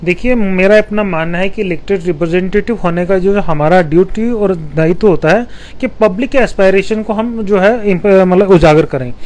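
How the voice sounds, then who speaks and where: A male councillor, answering a reporter's questions in a measured, explanatory way with frequent restarts. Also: high voice, a little mellow. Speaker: municipal councillor. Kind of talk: press interview answers.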